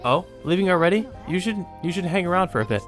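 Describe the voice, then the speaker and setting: A man acts out a teenage schoolgirl from a visual novel in a raised pitch. She is calm and nonchalant, with a teasing, non-committal way of talking. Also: fast, faint music behind.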